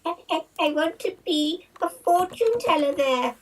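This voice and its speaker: A woman's voice: very high voice, expressive, unhurried, slight background noise. A woman playing a stammering old female fortune teller in an improv scene, heard from a distance. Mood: nervous. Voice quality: stammering, creaky. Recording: voice from far off the mic.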